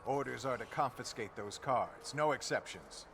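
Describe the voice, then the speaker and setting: A medium-pitched male guard in a video game, curt and unyielding. He gives commands amid clearly noisy ambience. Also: animated, moderate pace. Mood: stern. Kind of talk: scripted video game dialogue.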